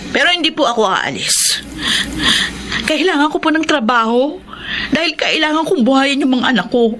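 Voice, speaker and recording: A plain-spoken housemaid with a high voice and very lively intonation. She is cheeky and gossipy, in the manner of radio drama, over a clearly noisy background. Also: moderate pace. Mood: firm, pleading. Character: cheeky, outspoken housemaid, a working mother. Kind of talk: Tagalog radio drama acting.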